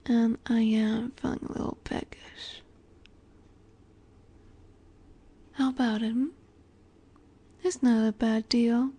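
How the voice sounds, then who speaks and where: Casting: actress as a seductive vampire heiress for intimate audio roleplay, mellow and breathy, unhurried, teasing, often whispering, softly possessive. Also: female voice, fairly high pitch, level tone. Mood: coaxing, teasing. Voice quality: soft, breathy.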